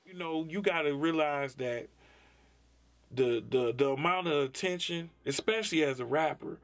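Blunt laid-back Detroit rapper, higher voice. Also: male voice, moderate pace. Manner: laid-back, blunt and profane, many "you know what I'm saying". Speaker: rapper interviewee. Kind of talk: candid interview talk.

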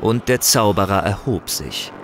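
Low-voiced male fantasy narrator. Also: animated, unhurried. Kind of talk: scripted dramatic fantasy narration. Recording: music behind the voice.

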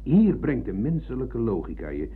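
Philosophising household man, pedantic, declamatory, medium pitch. Radio drama, narrow phone-like recording. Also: very animated, moderate pace, slightly rough. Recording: muffled phone-like old radio, humming noise.

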